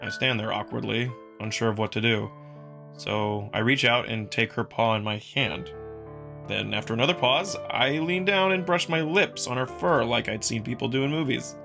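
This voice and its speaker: A man's voice: medium pitch, normal speed, creaky, music in the background. A man reading first-person visual novel narration as a scripted storyteller. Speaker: narrator and voice performer.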